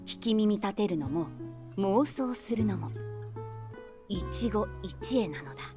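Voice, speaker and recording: A woman's voice: mid-range voice, lively intonation, slow, over music. An actress playing a wry woman of forty-six, a self-deprecating eavesdropper narrating an audio drama conversationally in the first person. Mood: wry, matter-of-fact. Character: wry 46-year-old woman, eavesdropping daydreamer.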